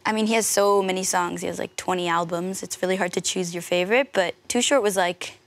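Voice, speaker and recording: A woman's voice: on the low side, fairly even intonation, fairly quick. A young woman from the San Francisco Bay Area, chatting as a singer guest in an interview, laughing easily and saying "like" a lot. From United States (San Francisco Bay Area). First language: French. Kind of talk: casual interview.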